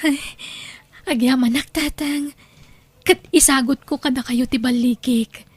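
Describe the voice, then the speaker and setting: A woman's voice: sits high, fairly even intonation, measured pace, slightly rough, dry recording. A woman with an Ilocano accent voicing a grateful young daughter who just graduated, in an audio drama.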